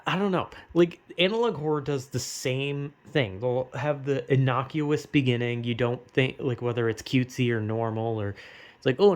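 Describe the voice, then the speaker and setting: A young American man talks off the cuff in a loose, rambling way. His speech is slangy and full of swearing, like casual opinion commentary among friends. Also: mid-range voice. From United States. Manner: rambling, slangy, heavily profane.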